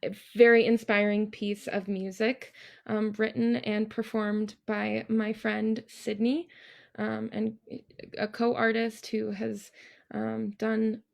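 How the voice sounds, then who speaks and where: A woman host with a strongly creaky, fairly high voice makes informal announcements. Her delivery is slow and halting, and she stays polite. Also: flat delivery. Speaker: event host.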